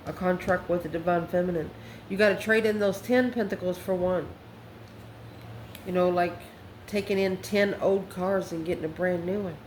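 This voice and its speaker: A woman's voice: lowish voice, steady tone, moderate pace, darkish tone. A woman giving a casual, spontaneous tarot reading, talking straight to the viewer in a conversational, exclamatory way. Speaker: tarot card reader. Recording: echoey room with faint background noise.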